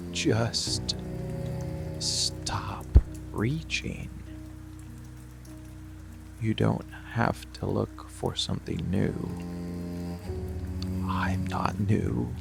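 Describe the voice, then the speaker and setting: Casting: male actor for monster boyfriend ASMR, dark, possessive, coaxing; deep fry-laden murmur and whispers, music and rain underneath. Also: heavily acted. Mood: quietly insistent. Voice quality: gravelly, breathy, often dropping to whisper. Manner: slow, deliberate, coaxing, with long pauses. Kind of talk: intimate roleplay ASMR, slow monologue.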